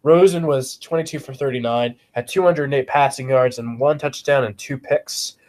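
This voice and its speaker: A man's voice: mid-range voice, normal speed, darkish tone. A man co-hosting a casual sports podcast while he has a cold and sniffles. He recites game stats bluntly and swears often. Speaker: podcast co-host.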